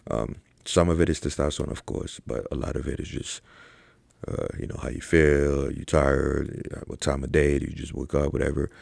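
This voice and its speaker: A young man with an extremely low voice full of heavy vocal fry ranks things to camera in loose, profane slang. He peppers his talk with fillers and "right?" tags. The sound is dry, with no room echo. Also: fairly even intonation, normal speed, darkish tone. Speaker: online fitness coach. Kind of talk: casual ranking commentary to camera.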